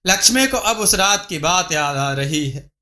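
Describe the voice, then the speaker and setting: Slow, high-pitched man narrating a story. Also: lively intonation, pushed and bright. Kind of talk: scripted Hindi moral-story narration.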